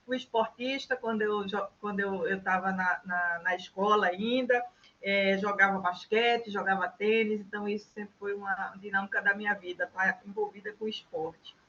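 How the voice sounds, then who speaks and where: A woman's voice: medium pitch, steady tone, normal speed, pushed and bright, muffled recording, slight background noise. Chatty older woman from Recife. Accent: Recife Brazilian Portuguese. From Recife, Brazil. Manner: chatty, frequent "né" and restarts. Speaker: interview guest, innovation specialist. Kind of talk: conversational interview answers.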